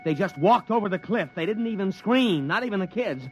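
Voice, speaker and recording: An uneasy military interpreter in a vintage radio drama. His voice is high for a man, deferential to superiors and solemn in translation. Faint noise runs through the old recording. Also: expressive, a little fast, darkish tone. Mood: shaken. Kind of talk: 1950s radio drama acting.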